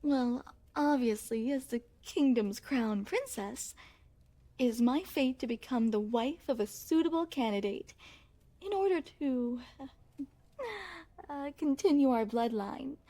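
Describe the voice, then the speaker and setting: A woman plays a young crown princess in an intimate ASMR roleplay. Her voice sits on the high side and moves very slowly. She phrases everything formally, with a genteel, coy and self-satisfied poise. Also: expressive, mellow. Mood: proud, poised. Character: young crown princess, refined, playful, secretly possessive. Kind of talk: ASMR roleplay, scripted, addressed to the listener.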